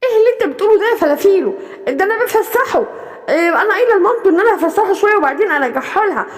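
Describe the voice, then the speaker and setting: A woman doing a sly female animal kidnapper in a cartoon, brusque and dismissive, with an Egyptian Arabic accent. Her voice is very high, and a long hall reverb trails it. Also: lively intonation, racing.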